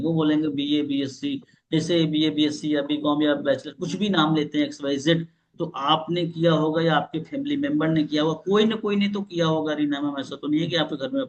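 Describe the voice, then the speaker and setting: A man's voice: a little high, normal speed, rough recording. Hindi-speaking man teaching sales on a call. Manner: explains with role-play examples and repeated questions. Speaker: sales trainer. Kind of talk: live online training session. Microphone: video call.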